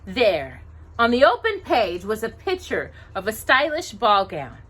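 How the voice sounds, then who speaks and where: A woman reading a story aloud to children in an expressive way, now and then stumbling over words. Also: a little high, measured pace, slightly rough.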